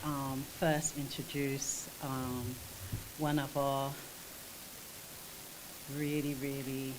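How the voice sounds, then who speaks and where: A woman's voice: a bass voice, flat delivery, drawn-out words, background noise. A middle-aged woman, a treatment centre director introducing a client, speaking with open emotion. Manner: warm, emotional, effusive. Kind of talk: introductory remarks. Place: conference room at a press event. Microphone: handheld mic.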